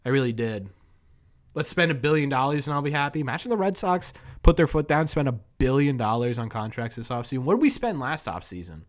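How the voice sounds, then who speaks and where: A young man with a New England accent and a hoarse voice at a middle pitch. He hosts a loose live-stream sports chat, thinking aloud, rambling and chuckling as he answers his viewers. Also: big pitch swings, fairly quick. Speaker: sports podcast host.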